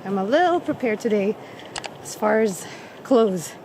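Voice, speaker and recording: A woman's voice: mid-range voice, expressive, measured pace, a little mellow. A woman with a cold, losing her voice, chatting casually as she walks an outdoor coastal trail, recorded on the camera's built-in mic. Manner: chatty, walking while she talks. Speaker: travel vlogger. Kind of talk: casual vlog narration while walking. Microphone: camera microphone. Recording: windy outdoor camera audio. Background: wind and outdoor noise.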